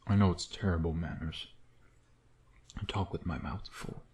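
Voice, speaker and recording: A man playing a suave, predatory male vampire in an intimate ASMR roleplay, teasing in a breathy voice that often drops to a whisper. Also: sits low, a little mellow, lightly acted. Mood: hungry, intimate. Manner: slow, teasing, murmuring with pet names.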